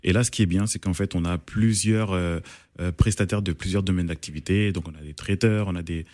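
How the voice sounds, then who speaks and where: A man's voice: low-pitched, steady tone, a little fast, a little mellow, no echo. A man born in France, an event organizer giving a radio interview, speaking hesitantly with many fillers and restarts. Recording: dry radio studio.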